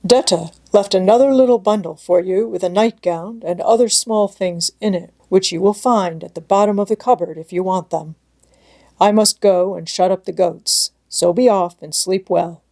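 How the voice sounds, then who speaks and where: A woman voicing a gruff but kindly old grandfather in a storybook, speaking in brief, practical sentences. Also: mid-range voice, normal speed, a little mellow, close to an everyday voice. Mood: matter-of-fact. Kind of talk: storybook audiobook character reading.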